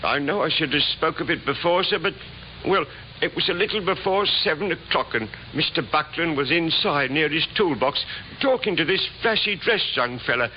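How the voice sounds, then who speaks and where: A man's voice: fairly high pitch, animated, normal speed, natural voice. Man playing a timid, stammering old stage doorman. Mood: hesitant, apologetic. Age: older. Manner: stammering, deferential, hesitant. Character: timid old stage doorkeeper, deferential and wary. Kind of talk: 1950s radio drama acting. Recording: scratchy, dull 1950s radio recording.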